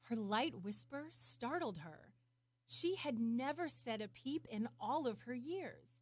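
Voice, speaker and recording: A woman narrating a children's story in an expressive, deliberate way. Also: fairly high pitch, husky, dry recording. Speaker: storybook reader.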